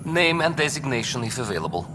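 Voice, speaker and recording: An actor playing a calm, formal male station assistant who speaks dryly and precisely. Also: mid-range voice, quick pace, crowd noise, close to an everyday voice. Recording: game audio with long reverb and clear background noise.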